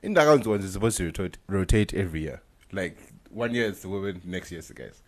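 A man's voice: lively intonation, quick pace, a little mellow. A man co-hosting a podcast in casual chat, laid-back and joking. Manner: laid-back, puts on a low crooning "voice of love" for laughs. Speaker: podcast co-host.

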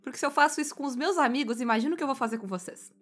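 A woman's voice: high voice, very fast. A Brazilian woman co-hosting a podcast in casual, bantering chat, telling stories in a playfully bossy way. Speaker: podcast co-host.

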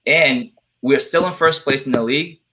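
A man with a non-native English accent chatting about sports on a podcast, excitable, swearing and restarting his sentences. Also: mid-range voice, moderate pace. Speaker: podcast panelist. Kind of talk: casual sports chat.

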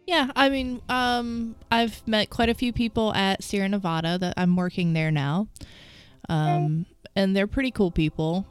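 A young woman hosting a radio show, a little raspy and stuffy from a cold, chatting casually and laughing at herself. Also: medium pitch, a little slow, no room sound. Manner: self-deprecating, laughs often. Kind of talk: casual chat.

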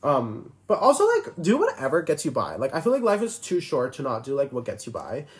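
20 yr old guy rambling on his podcast, tons of fillers, pitch jumping all over. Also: huge pitch swings, brisk. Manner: rambling, filler-heavy, restarts sentences constantly. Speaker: podcast host. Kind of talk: casual solo podcast chat.

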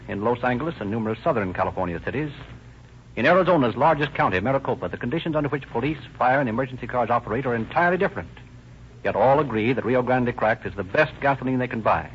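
A man announcing and narrating in a formal, declarative 1930s radio style. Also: medium pitch. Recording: dull, noisy old radio recording.

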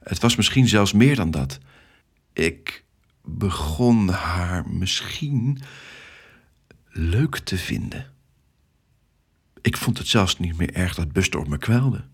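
Low, slightly husky male storyteller. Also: animated, takes it slowly, long pauses. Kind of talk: scripted first-person children's story narration.

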